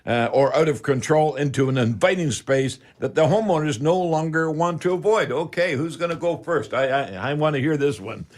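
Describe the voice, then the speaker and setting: An older man with a Canadian accent hosting a radio talk show in a folksy, halting, unscripted way. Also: a little high.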